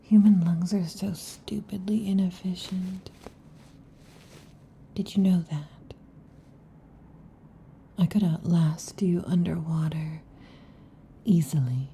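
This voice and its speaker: A woman voicing a possessive, overheated female lamia in an ASMR roleplay, whispering softly and teasingly into a close mic. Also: on the low side, steady tone, slow pace, vocal fry, pauses often, a little hiss or hum. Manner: soft intimate whispering, teasing. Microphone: close sensitive mic.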